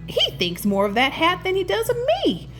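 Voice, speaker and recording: A woman's voice: extremely high pitch, wildly swooping pitch, normal speed, a bit bright, pitched above the performer's own voice, exaggerated voice. A woman voicing an outspoken Old West sheriff's wife. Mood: put out. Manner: wailing, sweet-talking. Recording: music behind the voice.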